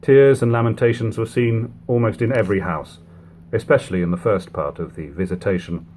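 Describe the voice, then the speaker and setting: A man reading an old literary book aloud in a measured, phrase-by-phrase narration, on a lo-fi home recording. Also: fairly low pitch, a little mellow. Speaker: audiobook reader. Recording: lo-fi home recording, background hum, noise.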